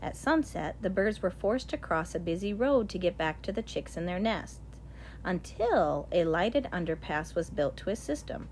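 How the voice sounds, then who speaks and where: A woman reading a nonfiction children's picture book aloud in an expressive, deliberate storytelling way. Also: lowish voice, a little hiss or hum. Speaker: audiobook reader.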